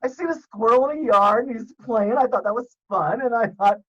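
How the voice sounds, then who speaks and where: Male podcast guest, casual storyteller, animated and jokey, voice leaps high for punchlines, dull lo-fi sound. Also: a little fast. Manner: animated, jokey, swears, squeaks up when excited.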